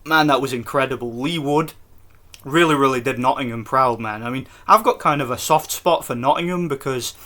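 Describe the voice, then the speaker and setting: A man from Scotland giving a casual, excited post-fight review for his vlog, rambling with restarts. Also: medium pitch, brisk. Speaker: boxing vlogger.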